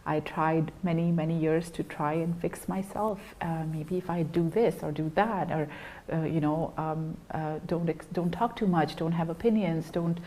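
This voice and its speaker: A young woman speaks in a low register, earnest and unhurried. She talks as a guest, stopping and restarting often with small fillers. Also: fairly even intonation, warm tone. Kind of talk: personal testimony in an interview.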